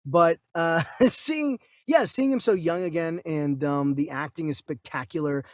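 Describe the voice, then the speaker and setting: Male podcast co-host, thirties, high-pitched voice, dry close sound, rambling and enthusiastic in casual movie talk. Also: measured pace, no room sound. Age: 30s. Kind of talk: casual film review chat.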